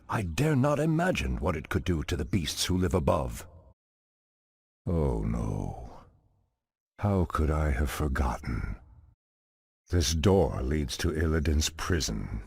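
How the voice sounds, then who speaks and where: An actor voicing an ancient, noble night elf archdruid in a video game, with a husky, solemn, formal and theatrical delivery. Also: male voice, a bass voice, a little mellow, no room sound, studio quality, exaggerated voice. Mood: sorrowful. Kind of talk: fantasy video game character dialogue.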